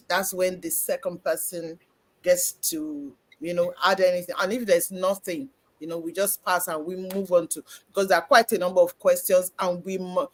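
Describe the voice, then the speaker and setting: The host of a church live-stream panel, speaking conversationally and explaining at length, with frequent "you know" fillers. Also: mid-range voice. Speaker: host, moderator.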